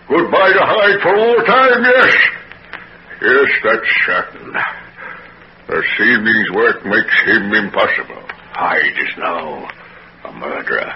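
An actor playing a gleeful, deranged murderer in 1940s radio melodrama, ranting theatrically and cackling on an old recording. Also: male voice, on the low side, muffled recording, noisy background. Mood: triumphant, menacing. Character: gleeful, deranged male murderer exulting in his own evil.

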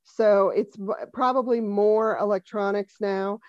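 A woman's voice: mid-range voice, fairly even intonation, a little slow, a little mellow, dry recording, muffled recording. A woman in her fifties from Denver, a comedian and musician guest chatting dryly on a podcast and laughing often. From Denver, USA. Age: 50s. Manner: dry, wry, laughs often. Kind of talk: casual podcast interview.

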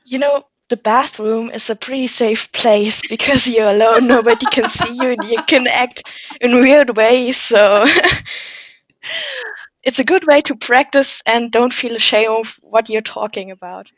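A woman's voice: fairly high pitch, lively intonation, measured pace, no room sound. A young woman in her late teens, a native German speaker with a German accent, telling a personal story casually as a podcast guest. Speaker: podcast guest, university student. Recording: dull lo-fi audio.

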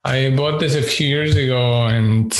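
A man with a deep voice who is a podcast guest, chatting casually, thinking aloud with fillers and laughing often, on a headset mic. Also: a little slow, reverberant, slight background noise, poor audio. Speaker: podcast guest, education researcher. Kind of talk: casual interview chat. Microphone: over-ear headset with boom mic.

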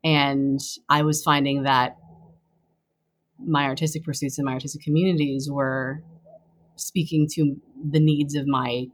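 Low-voiced woman answering thoughtfully. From Taiwan, raised on US East Coast. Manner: thoughtful, halting, with many restarts and fillers. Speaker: campaign treasurer and fundraising director. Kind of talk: casual interview answers.

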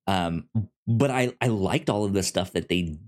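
A man with a somewhat low voice chats casually as a podcast co-host, reviewing a show. He talks haltingly, tripping over his words and restarting sentences as he thinks aloud. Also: darkish tone. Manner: halting, stammers and restarts often.

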